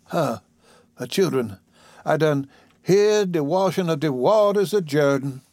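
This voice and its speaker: A man acts out a sickly old woman in a storybook tale. The voice is weak, plaintive and raised in pitch, recorded close and dry. She speaks in folksy Southern dialect with pious resignation. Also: lively intonation, measured pace, a little mellow, no room sound.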